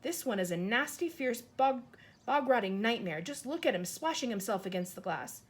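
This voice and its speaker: A woman voicing an excitable, bumbling giant. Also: on the high side, moderate pace, natural voice. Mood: explaining. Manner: jumbled grammar, made-up words, cries out often. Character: kind, excitable giant with mangled grammar. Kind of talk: audiobook character voice.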